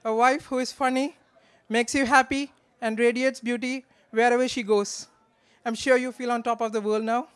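A man gives a joking, affectionate, slightly halting wedding toast from notes into a handheld microphone. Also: very high-pitched, level tone, fairly bright tone, clear, resonant tone. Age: 20s. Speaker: best man, groom's brother. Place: wedding reception in a large draped marquee.

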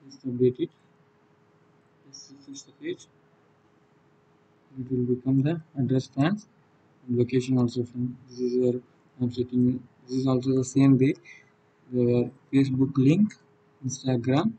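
A man with a South Indian accent and a husky voice, giving an unscripted software walkthrough in halting, non-fluent phrases. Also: medium pitch, steady tone, dull audio. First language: Tamil. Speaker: software demonstrator. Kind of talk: unscripted screen-recorded tutorial walkthrough.

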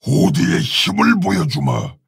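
A man playing a proud, solemn orc warchief in a video game, gravelly and husky, speaking in a commanding, stately, formal way. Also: on the high side, wildly swooping pitch, unhurried, no echo, studio quality, exaggerated voice. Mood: battle fury. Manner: commanding, stately, old-fashioned formal speech. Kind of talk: video game hero voice lines.

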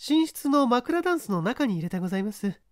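A man voicing a genteel wealthy lady with a soft, refined, polite manner in an audiobook. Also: very high-pitched, big pitch swings, fast talker, no room sound, clean studio sound, pitched above the performer's own voice. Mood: gracious.

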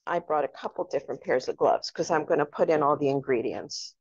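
A woman with a slightly low voice talks viewers through cooking in a chatty, instructive way. The recording is a little dull and lo-fi, with room echo around her. Her style is that of a casual live demonstration. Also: a little fast, slightly rough. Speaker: cooking show host. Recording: echoey room, dull lo-fi sound.

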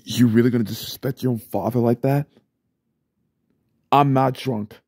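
A man performing an ASMR roleplay as a stressed single father, grumbling under his breath and then yelling in anger. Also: medium pitch, steady tone, normal speed, breathy edge, dry recording, studio quality. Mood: angry. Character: stressed single father, short-tempered, drinking.

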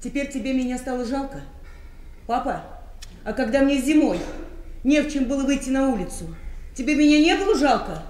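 An actress playing a bitter, reproachful grown daughter in a radio play. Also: female voice, high voice, lively intonation, brisk, long pauses, a little mellow, reverberant, a little hiss or hum. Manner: tense, reproachful. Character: strained grown daughter, bitter, ashamed of father. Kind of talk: 1990 radio play acting.

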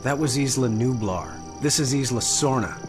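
An actor playing a keen young research assistant in a game adventure, direct and incredulous. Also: male voice, mid-range voice, normal speed, music in the background, lightly acted. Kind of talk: dramatic dialogue in a game cutscene.

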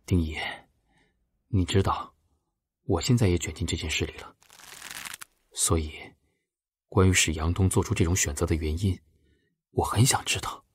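An actor playing an earnest, reserved science professor with a husky voice in an audio drama. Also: male voice, low-pitched, steady tone, moderate pace, pauses often, no echo. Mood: earnest, probing.

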